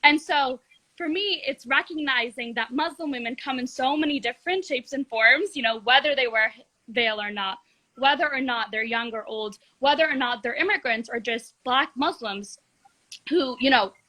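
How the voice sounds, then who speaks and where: A teenage girl from Egypt with a high, bright voice talks earnestly as a guest on a live video call. Her speech is fluent and builds in emphasis as she makes her points. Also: moderate pace, poor audio. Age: teens. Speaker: guest, youth activist.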